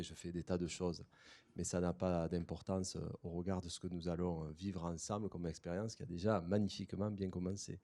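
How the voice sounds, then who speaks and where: A man introducing himself as a company co-founder on a panel, deliberate and emphatic. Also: lowish voice, warm tone. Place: conference stage.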